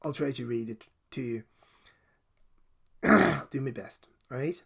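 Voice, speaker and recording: A middle-aged Danish man speaks into a desk microphone with a gravelly, fry-laced voice. His Danish accent carries Irish and American traces, and he rambles self-consciously, apologizing often. His pitch sits in a middle register for a man. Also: expressive, takes it slowly, fairly bright tone. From Denmark. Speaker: comic book collector. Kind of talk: casual heartfelt video message.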